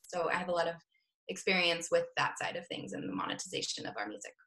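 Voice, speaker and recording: A woman speaking as a business affairs manager on a panel, explaining at a relaxed pace with many hesitations and fillers. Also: fairly low pitch, quick pace, echoey room. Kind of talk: casual panel discussion.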